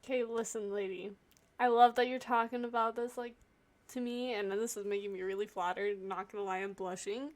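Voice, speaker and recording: A woman livestreaming a video game with casual commentary, playful and expressive as she talks and reads dialogue aloud. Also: mid-range voice, brisk. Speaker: game streamer.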